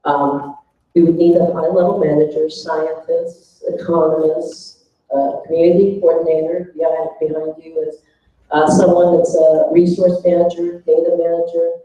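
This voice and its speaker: A woman with a dark, mellow voice of middling pitch reports haltingly for her group at a workshop. The sound is dull and very echoey, as from a big room. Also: little pitch movement, far from the mic. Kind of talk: presenting group notes, halting.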